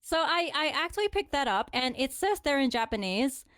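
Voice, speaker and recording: A woman's voice: sits high, very animated, moderate pace, fairly bright tone, no room sound, clean studio sound. A woman from the Philippines co-hosting a podcast in casual chat, often repeating words as she explains things. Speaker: podcast co-host.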